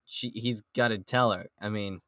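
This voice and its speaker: A man's voice: lowish voice, steady tone, normal speed, fairly bright tone, dry recording, clean studio sound. A man chatting casually as a podcast panelist, joking and swearing freely. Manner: jokey, profane. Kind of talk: casual group chat about a manga.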